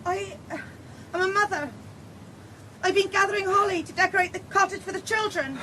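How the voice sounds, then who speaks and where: A woman playing a kind, devout peasant mother in a cottage, speaking gently and homely. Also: very high-pitched, a little fast, noisy background. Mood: startled, kindly. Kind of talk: radio drama acting.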